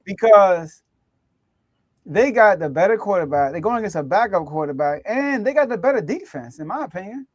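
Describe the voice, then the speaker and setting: A man with a fairly high voice talks football in loose, rambling banter. He restarts his sentences and laughs along the way. The sound is dry and close to the mic. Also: expressive, moderate pace, no room sound, highs cut off. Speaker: sports show host. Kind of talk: casual sports chat.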